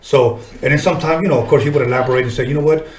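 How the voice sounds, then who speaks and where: A man's voice: medium pitch, racing, a little mellow. A middle-aged man hosting a podcast, talking casually with slang and profanity in an encouraging way. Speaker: podcast host. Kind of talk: casual interview chat. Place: small podcast studio, indoors. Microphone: desk microphone. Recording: roomy studio echo.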